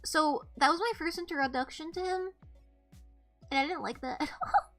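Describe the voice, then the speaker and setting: A woman's voice: sits high, moderate pace, a bit bright, soft background music. A young woman with a slightly nasal voice, chatting casually on a live stream, rambling and swearing with lots of "like" and "bro". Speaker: streamer host.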